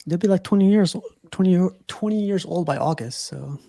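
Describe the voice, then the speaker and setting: A man's voice: sits high, normal speed, vocal fry, warm tone, no room sound. A man hosting a casual livestream chat over a video call, rambling and laughing often. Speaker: podcast host.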